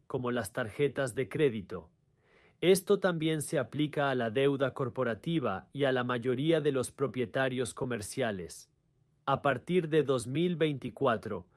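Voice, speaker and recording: A man's voice: mid-range voice, a little mellow, no echo, studio quality. A man presenting a scripted explainer in the manner of a dubbed video host.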